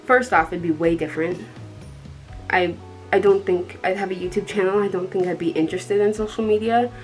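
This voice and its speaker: A young woman from Colorado with a dark tone, chatting casually to the camera in a rambling way, with many ums and soft laughs. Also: mid-range voice, fairly even intonation, a little fast, faint music behind. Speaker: vlogger.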